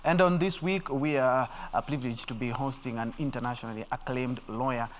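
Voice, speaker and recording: A man's voice: medium pitch, a little fast. Male TV host with fillers and a faint hiss. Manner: many fillers and brief affirmations. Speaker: TV talk show host. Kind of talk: studio TV interview.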